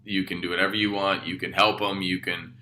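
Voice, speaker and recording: An American man with a fairly low, bright and forceful voice. He talks like an informal sales trainer, colloquial and emphatic, pushing his points with upbeat conviction. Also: level tone, fairly quick, rough recording. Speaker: real estate sales trainer. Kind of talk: informal training talk with acted-out phone calls.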